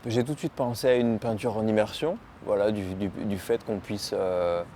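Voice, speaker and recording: A man's voice: lowish voice, steady tone, moderate pace, mellow, slight background noise. A man from Lyon, France, a painter talking about his own work in an interview, hesitant and often searching for words. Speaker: muralist painter.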